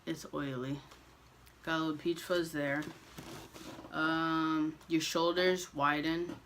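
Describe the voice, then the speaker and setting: A young man chatting casually to camera as a vlogger, relaxed and loose, with frequent ums and restarts. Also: a little high, takes it slowly, a little hiss or hum.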